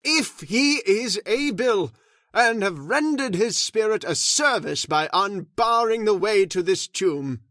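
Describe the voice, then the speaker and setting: A man playing a solemn caretaker who slowly tells a dark family legend in an old-time radio drama. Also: high voice, animated, dry recording, clean studio sound, exaggerated voice. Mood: alarmed. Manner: slow, ominous, measured delivery. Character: grave, solemn male storyteller of the house. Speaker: Mister Roberts, old manor caretaker telling the legend.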